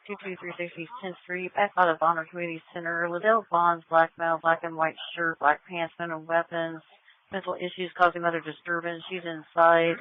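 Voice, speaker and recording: Flat-toned female dispatcher on police radio. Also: on the low side. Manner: flat, procedural. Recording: tinny narrowband police scanner radio.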